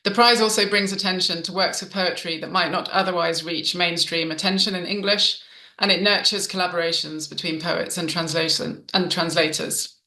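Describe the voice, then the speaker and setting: A woman hosting a literary prize event, introducing guests and pausing often with ums. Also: on the low side, fairly even intonation. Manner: warm, with frequent ums and restarts. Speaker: event host. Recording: roomy reverberant sound.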